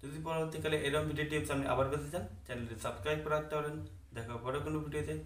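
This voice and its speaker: A man's voice: fairly high pitch, fairly even intonation, very fast. A man presenting an informal tech tutorial as a channel host, often tagging statements with a checking question. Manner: repeatedly adds a checking tag to statements.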